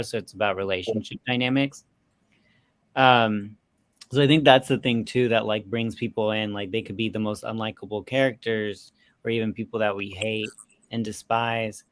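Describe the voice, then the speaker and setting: A man in a casual but analytical discussion, speaking in a measured, explanatory way. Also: fairly low pitch, fairly bright tone, clean tone, no room sound. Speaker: film review panelist.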